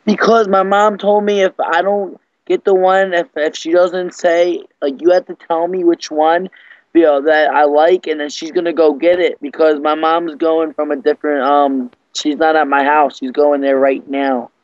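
A man's voice: a little high, little pitch movement, moderate pace, dry recording, close to an everyday voice. A young man voicing a polite, pleading young schoolboy in hesitant, childlike phrases. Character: whiny polite young schoolboy wanting a lunchbox. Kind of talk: prank phone call. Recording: slightly muffled computer call audio.

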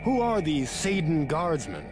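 An actor playing an earnest, naive male martial-arts hero in a video game, with husky voice and formal phrasing. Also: a little high, huge pitch swings, unhurried, music in the background. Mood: earnest, dutiful. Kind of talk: scripted video game dialogue.

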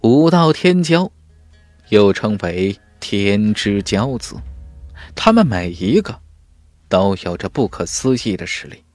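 Male narrator, dramatic fantasy storytelling, lively pitch swings, dry close sound, faint music. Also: slow, dry recording. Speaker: audiobook narrator.

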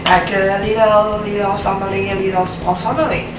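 A woman's voice: mid-range voice, flat delivery, normal speed. A young woman from Cameroon whose first language is French, chatting casually to camera as a fashion vlogger, filmed in her apartment living room. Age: young adult. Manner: chatty, lots of fillers, laughs at herself. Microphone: far camera. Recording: roomy echo, distant mic, background noise.

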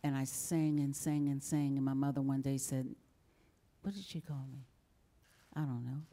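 Dark, low-voiced older woman storyteller. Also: fairly even intonation, normal speed. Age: 60s. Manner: folksy, chuckling storyteller. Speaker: panel guest singer. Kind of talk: storytelling on a panel. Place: large formal hall indoors. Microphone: handheld mic.

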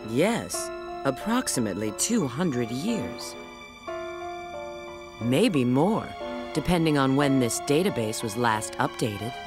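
Female bat spy for a game: coy, self-assured, animated intonation, leisurely pace over music. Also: a bass voice, full character voice. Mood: serious, surprised. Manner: coy, expressive, unhurried. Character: sultry, confident adult female bat spy. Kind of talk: video game cutscene acting. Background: background music.

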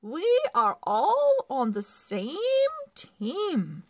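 A firm but encouraging female soccer coach from a children's storybook, acted by a woman reader. Her calls are brisk and emphatic, lifted in pitch. The voice swings widely, with a slightly husky edge. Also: wildly swooping pitch, exaggerated voice. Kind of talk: children's storybook character reading.

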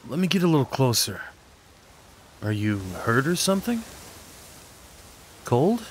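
A man acting a kind, slightly awkward neighbor in an audio roleplay, talking in a gently concerned, hesitant, conversational way. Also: mid-range voice, very animated, unhurried, a little hiss or hum. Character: kind, awkward, caring male neighbor comforting someone. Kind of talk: ASMR roleplay, soft one-sided dialogue acting.

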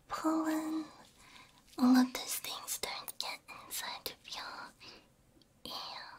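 A woman whispering gently and breathily in an ASMR roleplay as a caring, soothing technician, close to a binaural microphone. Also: high-pitched. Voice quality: breathy, mostly unvoiced whisper. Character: caring technician repairing a robot's ears.

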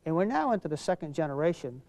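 An older man, a state representative, speaking in an interview thoughtfully with halting fillers in an ornate chamber. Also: a little high, very animated, a little mellow, in a large hall, low-quality recording.

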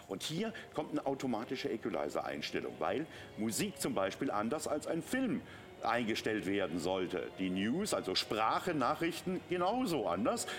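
A middle-aged man giving a live sales demonstration on a shopping channel, explaining in a conversational way. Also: on the low side. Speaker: shopping channel product presenter. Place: TV studio.

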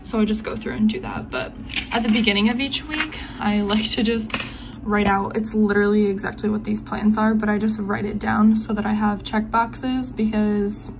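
A woman's voice: medium pitch, fairly even intonation, moderate pace, background noise. A woman in her twenties chatting casually through a day-in-the-life vlog at home, full of "like" fillers. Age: 20s. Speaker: vlogger. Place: home apartment, indoors.

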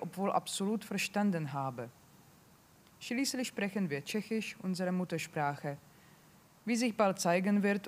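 A woman in her forties reading a literary text aloud in a calm, measured way into a handheld microphone on a library stage. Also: lowish voice. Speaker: reader at a literary panel. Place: stage in a large library hall.

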